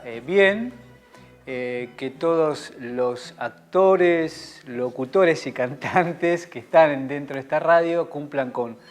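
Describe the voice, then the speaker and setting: Man, interviewed actor, slow and fairly high, faint music under. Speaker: actor interviewed about his role.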